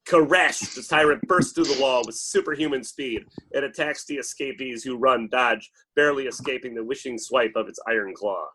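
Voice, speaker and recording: A man's voice: mid-range voice, normal speed, few pauses, sharp tone, breathy edge, rough recording. A man reading stage directions as dramatic scripted narration at a table read.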